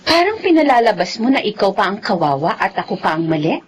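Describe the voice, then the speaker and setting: A woman's voice: medium pitch, very animated, fast, a little hiss or hum, lightly acted, poor audio. An actress playing a hurt, guarded young mother in an emotional Filipino radio drama. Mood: hurt, accusing. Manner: emotional, melodramatic delivery. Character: hurt, guarded young mother confronting her former lover.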